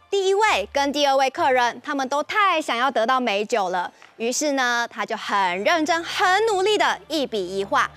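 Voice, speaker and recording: A woman's voice: high-pitched, fairly bright tone. A young woman with a Taiwanese accent hosting and narrating a story for small children. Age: young adult. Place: TV studio set.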